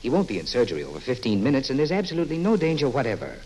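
An actor playing a breezy, reassuring surgeon in a 1940s radio comedy, with a glib professional manner. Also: male voice, medium pitch, huge pitch swings, rapid-fire, darkish tone, poor audio. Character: breezy, reassuring, money-minded male surgeon. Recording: hissy old radio recording.

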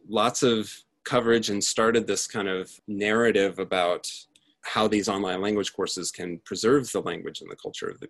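This is a man with a fairly low voice. He speaks as a presenter giving a prepared research talk. His delivery is that of someone explaining work to an audience. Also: a bit bright. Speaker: conference speaker, tech research lead.

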